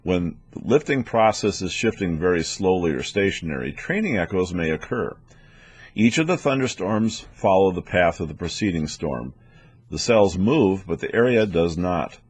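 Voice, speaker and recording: A man with a low-pitched, slightly rough voice narrates training material. He explains methodically, like an instructor reading from a prepared script. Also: lively intonation, moderate pace, dry recording. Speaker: weather instructor for air traffic controllers.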